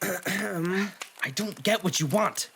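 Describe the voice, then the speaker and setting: A man performs a gruff young half-demon in an amateur audio drama. He talks brusquely and defensively, with a short temper. The home recording carries slight noise and clicks. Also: high voice, very slow, a bit bright, heavily acted. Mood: irritated. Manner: brusque, irritable. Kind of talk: fan audio drama acting.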